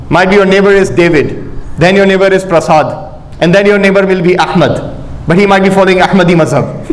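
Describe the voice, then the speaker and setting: High-voiced imam. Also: male voice, rough recording. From Pakistan. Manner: conversational, humorous examples, frequent restarts. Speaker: imam leading a marriage workshop. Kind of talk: informal religious lecture. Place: mosque hall.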